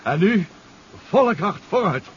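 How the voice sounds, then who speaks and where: An actor playing a spirited, proud professor and inventor in a 1970s radio drama, excitable and emphatic, sometimes halting. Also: male voice, high-pitched. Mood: proud, brisk. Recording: dull, faintly noisy radio play recording.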